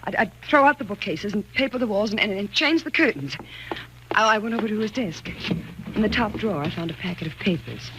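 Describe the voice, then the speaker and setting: An actress in a 1940s radio thriller playing a frightened, anxious wife, with a dramatic, halting delivery. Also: female voice, mid-range voice, lively intonation, natural voice. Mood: mounting dread. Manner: dramatic, halting and stammering when afraid. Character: frightened, anxious wife narrating her growing dread. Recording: noisy old 1940s radio recording.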